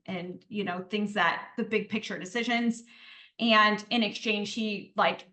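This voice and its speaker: Bright-voiced woman guest, echoey room. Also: mid-range voice. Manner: talkative, explains at length. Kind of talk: casual podcast interview.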